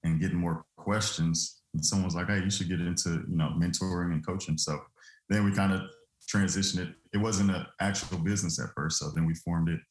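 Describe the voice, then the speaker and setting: Brief: 30s man from Louisiana, very low voice, laid-back storyteller, echoey room. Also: fairly even intonation, fairly quick. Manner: easygoing, laughs often, loose filler-heavy telling. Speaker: guest, former football player turned trucking business owner. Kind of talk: relaxed interview storytelling.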